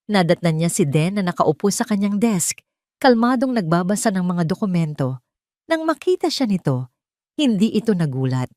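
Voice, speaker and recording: A woman with a Filipino accent narrating a scripted drama in an expressive, suspenseful storytelling way. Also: fairly low pitch, no echo, clean studio sound.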